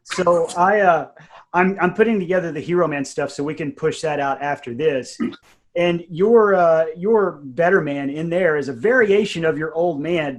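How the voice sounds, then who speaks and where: A man speaking casually and conversationally as the co-host and producer of an audio drama show. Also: high voice. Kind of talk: casual behind-the-scenes chat.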